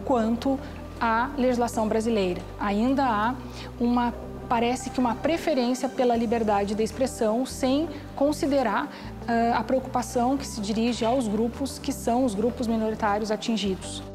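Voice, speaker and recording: A woman's voice: fairly high pitch, fairly even intonation, moderate pace. A Brazilian woman in her forties, an academic giving a formal explanation in an interview, on a lapel mic indoors. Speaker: academic researcher. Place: indoors, small office. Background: music.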